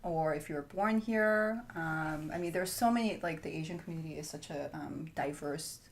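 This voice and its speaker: A woman leading a group chat, halting and full of fillers and tag questions. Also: low voice, creaky. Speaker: discussion leader. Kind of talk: casual group discussion.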